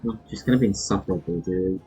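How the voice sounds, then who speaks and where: A man's voice: deep voice, quick pace, warm tone, husky. A man with a Filipino accent giving casual, chatty and reactive commentary on a game livestream, switching between languages. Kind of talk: casual live gameplay commentary. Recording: dull lo-fi stream audio. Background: game music.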